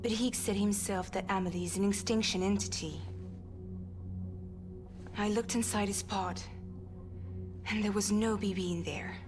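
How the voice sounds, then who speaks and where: A woman's voice: mid-range voice, fairly even intonation, normal speed, pauses often, natural voice. An actress playing a weary, determined young woman in a video game, explaining things frankly and urgently. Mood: explaining gravely. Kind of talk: cinematic game dialogue. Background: loud music.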